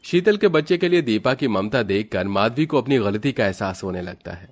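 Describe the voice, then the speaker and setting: A man's voice: mid-range voice, expressive, a little fast. A man narrating a scripted moral story for children as its storyteller.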